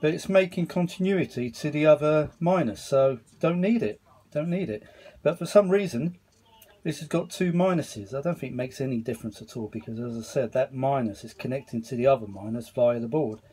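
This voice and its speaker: A man's voice: medium pitch, fairly quick, warm tone, slightly rough. A British man giving a casual, rambling repair commentary to camera, colloquial, with muttered asides and mild grumbling, recorded on a handheld phone. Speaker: hobbyist filming his repair of vintage audio gear. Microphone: handheld phone filming the work.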